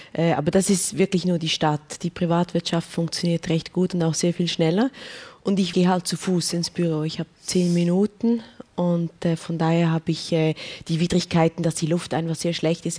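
A woman's voice: on the low side, mellow. A woman giving casual, conversational answers as an interview guest.

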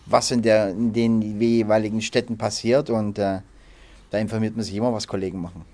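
Chef in his thirties, male, halting and full of fillers, flat, unvaried delivery. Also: fairly low pitch. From Berlin, raised in southern Germany. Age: 30s. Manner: halting, many fillers and restarts. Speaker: head chef. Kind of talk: interview.

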